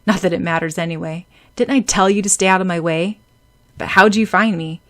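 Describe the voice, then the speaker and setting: A woman voicing a cold, sharp-tongued teenage schoolboy who talks down to others. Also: on the low side, fairly quick, close to an everyday voice. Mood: condescending.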